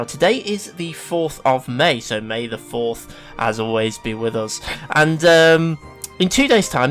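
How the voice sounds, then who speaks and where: Man, English accent, sniffly, chatty, self-deprecating, mid-pitched voice, casual gameplay commentary. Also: a bit bright. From England. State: sniffly with hay fever. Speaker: gaming video host. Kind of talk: casual let's play commentary.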